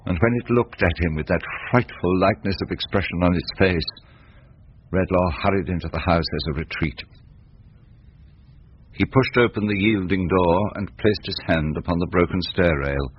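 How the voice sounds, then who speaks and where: A man narrating a scripted dramatic story in a grave, measured way. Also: low voice. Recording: muffled noisy old radio recording.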